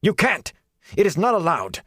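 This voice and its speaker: A man's voice: high voice, normal speed, husky, dry recording, clean studio sound, voice pushed up, exaggerated voice. A man voicing a merciless, zealous soldier in an audiobook, hard, rigid and formal in manner. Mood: outraged. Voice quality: flat, hard. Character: zealous, merciless male soldier, outwardly deferential.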